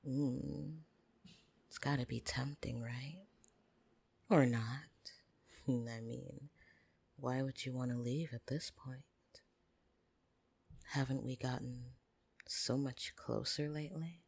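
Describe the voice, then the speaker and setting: Husky, slow-talking flirtatious vampire, acted out in ASMR. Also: medium pitch, steady tone, exaggerated voice. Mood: teasing. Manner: teasing, soft-spoken, often dropping into breathy whispers. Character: flirtatious, possessive upper-class vampire on a dinner date. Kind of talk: ASMR roleplay, intimate second-person monologue.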